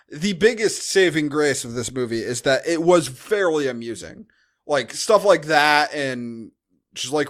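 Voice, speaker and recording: American dude cohosting a podcast, voice a little high, casual movie talk, blunt n jokey, swears. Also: male voice. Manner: blunt, joking, profane. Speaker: podcast co-host. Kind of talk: casual film review chat.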